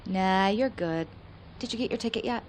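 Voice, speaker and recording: A woman's voice: mid-range voice, normal speed, slight background noise, lightly acted. A woman playing a teasing, friendly young university student in a radio play, wry and playful.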